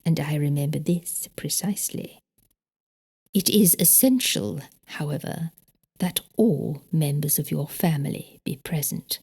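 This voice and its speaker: A woman's voice: deep voice, unhurried, mellow, breathy edge, pitched below the performer's own voice, exaggerated voice. A woman voicing a prim, indignant older married lady with a British accent, emphatic and precise, in an audiobook. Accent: British English. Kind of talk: audiobook character dialogue.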